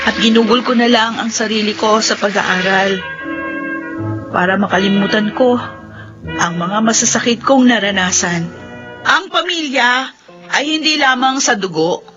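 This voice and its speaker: A woman's voice: high-pitched, sharp tone, exaggerated voice. An actress playing an earnest, wounded but forgiving young woman, with emotive delivery in a Filipino radio drama. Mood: reflective, hopeful. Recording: dull radio broadcast over music.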